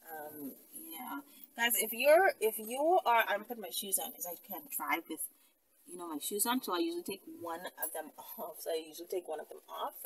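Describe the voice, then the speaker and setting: A woman's voice: fairly low pitch, expressive, moderate pace, distant mic, low-quality recording. A woman hosting a casual, rambling monologue for her followers, talking in a bossy, playful, slangy way. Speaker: host addressing followers. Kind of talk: casual, rambling monologue giving instructions to listeners.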